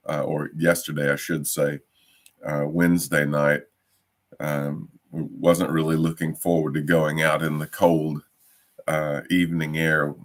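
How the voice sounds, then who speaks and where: A man leading an informal Bible study, a little croaky from a cough, hesitant with many ums and restarts. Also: a bass voice.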